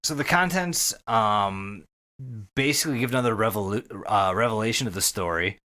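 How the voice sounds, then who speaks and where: A man's voice: on the low side, normal speed, sharp tone. A man hosting a podcast, recapping a story casually and enthusiastically, swearing freely and repeating words. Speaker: podcast host.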